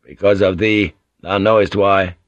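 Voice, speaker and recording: A man's voice: sits low, fairly even intonation, a little slow, no echo, muffled recording. A man playing an earnest, gallant man in an audiobook, with formal, archaic phrasing. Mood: ardent, gallant.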